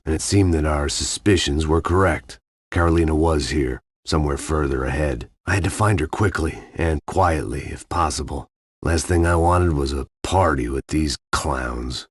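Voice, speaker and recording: An actor playing a weary, hard-drinking middle-aged ex-cop in a game, narrating in a deadpan, sardonic noir monologue. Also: male voice, extremely low pitch, slightly rough, no room sound, studio quality. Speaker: game protagonist.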